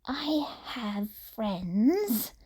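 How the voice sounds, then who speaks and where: A mellow, slow voice of a surly man in an audiobook story, played by a woman. He is curt and irritable, grumbling his short replies like someone who wants to be left alone. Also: fairly high pitch, sing-song, husky, heavily acted. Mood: curt, defensive. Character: grumpy, lonely, curt blue-haired man. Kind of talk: fan fiction audiobook character voice.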